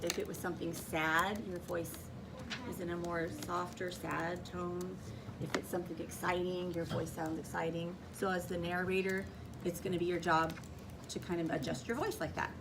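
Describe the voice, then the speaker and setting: Woman teaching class over clear background noise. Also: lowish voice, flat delivery, moderate pace, recorded from a distance. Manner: instructive, checks understanding with frequent questions. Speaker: elementary school teacher. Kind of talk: classroom teaching, giving instructions and asking questions. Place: indoor school classroom.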